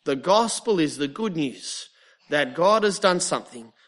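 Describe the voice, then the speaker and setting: A man's voice: on the high side, expressive, a little slow, a bit bright. A man in his thirties with an Australian accent, preaching a sermon with wry self-mocking humour before turning earnest.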